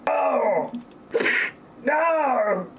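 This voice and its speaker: A man's voice: very high-pitched, animated, very slow, full character voice. A man playing a folksy, drawling bystander in a parody audio play, on a noisy recording. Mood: dramatic.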